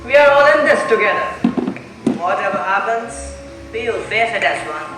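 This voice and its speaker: A man's voice: high-pitched, big pitch swings, moderate pace. A male actor playing a reassuring, take-charge guest in a stage mystery play. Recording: echoey stage, distant, loud music.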